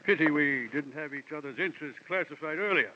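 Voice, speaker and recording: An actor playing a florid, jovial and pompous gentleman investigator from London with a villain's air, in 1940s radio style. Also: on the high side, brisk, natural voice. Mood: amused, self-satisfied. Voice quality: voice like a portly classic-film villain. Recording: muffled, hissy old radio recording.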